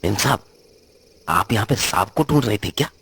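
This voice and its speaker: Male actor as concerned rustic servant in a horror audio drama, respectful and folksy, pitched above a deep natural register. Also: expressive, normal speed, vocal fry, a bit bright, husky, exaggerated voice. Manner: respectful, rustic colloquial Hindi phrasing.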